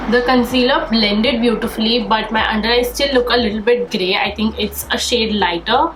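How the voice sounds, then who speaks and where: A woman's voice: on the high side, normal speed, over music. A young woman with a slightly nasal voice from a cold, chatting enthusiastically through a makeup tutorial. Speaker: beauty vlogger. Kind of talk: casual get-ready-with-me makeup tutorial. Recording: echoey room with faint background noise.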